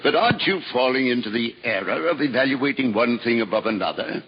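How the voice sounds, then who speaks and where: A man's voice: mid-range voice, lively intonation, brisk, breathy edge, lo-fi sound. Father Elias, a witty scholarly monk. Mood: wry. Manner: dry, teasing, scholarly. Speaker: priest. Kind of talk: 1950s radio drama acting. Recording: old radio broadcast with long reverb.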